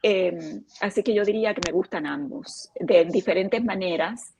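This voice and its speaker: A woman's voice: medium pitch, moderate pace, creaky, noisy background. A woman, an author and illustrator whose first language is Spanish, speaking expressively on a panel over a video call. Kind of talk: casual panel chat.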